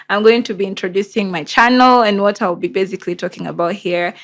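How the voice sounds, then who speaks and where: A woman with a Rwandan accent and Kinyarwanda as first language, hosting and telling a personal story in a candid, conversational, emphatic way. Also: mid-range voice. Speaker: show host.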